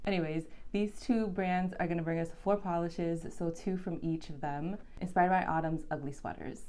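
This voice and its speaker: A woman's voice: lowish voice, steady tone, moderate pace, warm tone. A woman from Boston with a faint Boston accent, chatting casually through a nail polish swatch review with frequent ums and likes. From Boston, USA.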